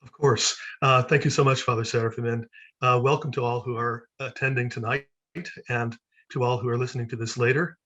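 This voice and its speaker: A man's voice: medium pitch, little pitch movement, a little fast, pushed and bright. An American man, a senior lecturer, introducing himself earnestly at an open day. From United States. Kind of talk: self-introduction at an online event. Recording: dull, lo-fi.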